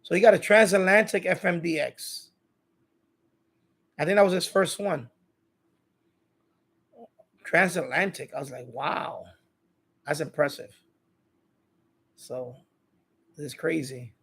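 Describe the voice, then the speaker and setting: A man's voice: a little high, measured pace, pauses often, a bit bright, husky. A man hosting a casual live stream, talking informally to his chat in a rambling way and often repeating his phrases. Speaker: live stream host of a radio hobby channel.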